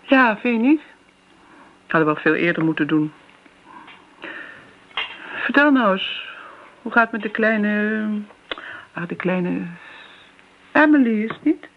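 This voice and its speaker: A woman's voice: medium pitch, lively intonation, unhurried, long pauses. Older woman, effusive, flustered, stammering, eager to please, radio drama, muffled hissy recording. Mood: chatty, eager. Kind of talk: radio play acting. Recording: dull, noisy old radio play recording.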